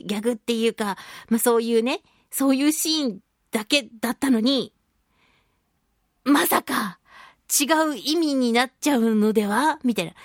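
A woman's voice: high-pitched, no room sound, studio quality. A woman hosting a radio show, chatting casually and expressively in a solo talk. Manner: animated, expressive, acts out reactions and quotes. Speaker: radio show host, voice actress. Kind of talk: casual monologue talk, recounting a film outing.

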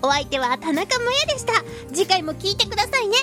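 Woman, radio presenter, high-pitched, playful and effusive, expressive casual talk, music behind. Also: a little fast. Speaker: radio show host, voice actress. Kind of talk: radio talk show hosting, casual chat.

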